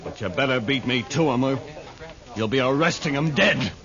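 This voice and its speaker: An American actor playing a bitter, sneering, vengeful villain in a 1950s radio western. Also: male voice, mid-range voice, moderate pace, loud music behind the voice, close to an everyday voice. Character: bitter, vengeful Old West villain, jilted. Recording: muffled radio recording with crowd behind.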